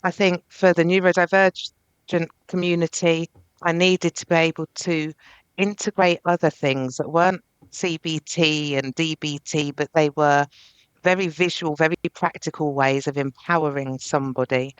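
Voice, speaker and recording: A woman's voice: deep voice, steady tone, normal speed, clear, resonant tone, no echo, rough recording. A woman therapist speaking earnestly and reflectively as a podcast guest. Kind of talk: podcast interview.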